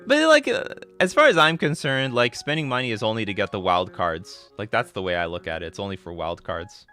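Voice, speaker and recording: A man in his thirties chats on his livestream, jumping between thoughts and restarting sentences as he answers viewers. His voice is bright and sharp, with soft music playing underneath. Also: lowish voice, a little fast. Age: 30s. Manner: rambling, excitable, restarts sentences. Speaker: livestream host. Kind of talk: casual live-stream chat answering viewer questions.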